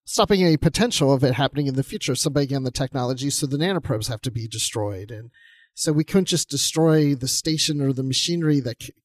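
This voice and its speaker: A man's voice: medium pitch, quick pace, no room sound. A man co-hosting a podcast, casually discussing a book and thinking aloud as he talks. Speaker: podcast co-host.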